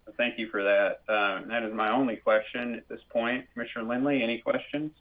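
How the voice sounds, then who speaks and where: A man chairing a formal public meeting, measured and courteous, calling on commissioners and speakers with small fillers and repeats. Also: medium pitch, flat delivery. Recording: tinny phone-like audio.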